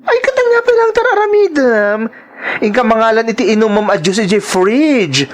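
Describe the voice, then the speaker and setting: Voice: medium pitch, big pitch swings, moderate pace, slight background noise, lightly acted. A performer with an Ilocano accent playing a sassy, bossy, evasive transgender woman in an audio drama, flamboyant and scolding. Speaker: voice actor in a radio-style drama.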